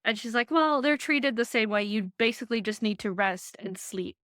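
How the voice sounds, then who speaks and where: A woman's voice: a little high, dry recording. Chatty young woman, dry close sound. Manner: chatty, animated, quick asides. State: recovering from illness. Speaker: vlogger and design lecturer. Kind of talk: chatty casual vlog update. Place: her living room, dim.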